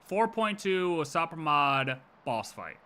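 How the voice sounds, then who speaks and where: Animated, bright-voiced male game streamer. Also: fairly high pitch, slow. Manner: slangy, sweary, mocking, acts out imagined quotes. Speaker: streamer reacting to a game story. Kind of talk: casual live reaction commentary.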